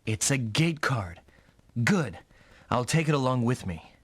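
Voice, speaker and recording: Eager, animated young mech pilot. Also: male voice, mid-range voice, unhurried, breathy edge, no echo, heavily acted. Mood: puzzled, wary. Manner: dramatic, exclamatory delivery, thinking aloud. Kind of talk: anime-style English video game voice acting.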